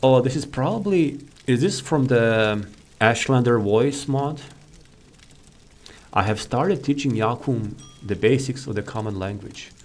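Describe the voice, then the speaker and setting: Guy chatting thru a video game, kinda rambly thinking out loud, bit of noise on the mic. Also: medium pitch, expressive, measured pace. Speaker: video host, gamer. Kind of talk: casual gameplay commentary.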